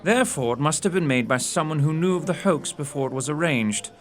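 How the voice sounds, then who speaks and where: An actor in an audio drama playing a shrewd, courteous gentleman detective with a precise, theatrical, condescending manner. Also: fairly high pitch, animated, brisk, faint music behind, lightly acted. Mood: explaining, triumphant.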